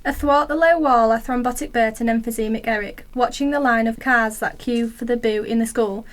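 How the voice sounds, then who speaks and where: High-voiced woman storyteller. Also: brisk. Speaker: narrator. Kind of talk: scripted lyrical radio play narration.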